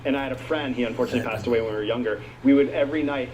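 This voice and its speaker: Fast-talking man giving a casual home tour. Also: medium pitch, background noise. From Lebanon. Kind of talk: casual show-and-tell chat. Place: indoor rooms of own house.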